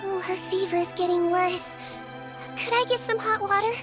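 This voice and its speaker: A woman voicing a small, gentle, girlish nurse creature in a video game, calm, caring, soft-spoken and earnest in measured sentences. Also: very high voice, over loud music. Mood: caring, reassuring. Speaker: nurse and doctor.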